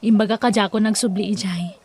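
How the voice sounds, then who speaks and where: An actress playing an earnest, respectful young daughter in an Ilocano radio drama, emotive, with an Ilocano accent. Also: female voice, medium pitch, fairly even intonation, fairly quick, a little mellow, lightly acted. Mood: earnest, concerned.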